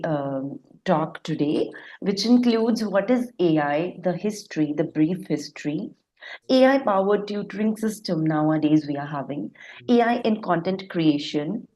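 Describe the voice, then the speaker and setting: A woman delivers a keynote lecture over a video call. Her voice is husky and hoarse from a flu, sitting slightly low, and she lectures steadily with the odd hesitation. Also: a little mellow, rough recording. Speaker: keynote speaker, assistant professor. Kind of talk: online conference presentation.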